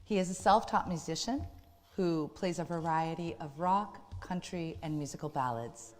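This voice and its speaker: A woman's voice: deep voice, moderate pace. A woman hosting a variety show, introducing segments and guests in a scripted manner. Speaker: show host. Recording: long hall-like reverb.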